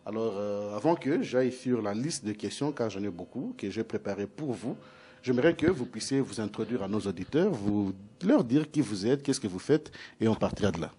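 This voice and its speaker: A man's voice: fairly low pitch, expressive, moderate pace, dark tone. A man hosting a TV interview, speaking formally and addressing the audience directly. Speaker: TV interview host.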